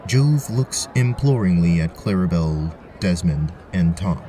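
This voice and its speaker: A man's voice: low voice, expressive, measured pace, dark tone, slight background noise. A man narrating a scripted fantasy story as the game master of an audio drama.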